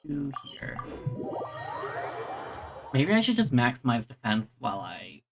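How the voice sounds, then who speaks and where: A streamer talks through a game in a casual, think-aloud way, often muttering to themselves. The voice sits at a middling pitch, and game music plays clearly underneath it. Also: wildly swooping pitch, measured pace. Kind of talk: casual live gameplay commentary. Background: video game music.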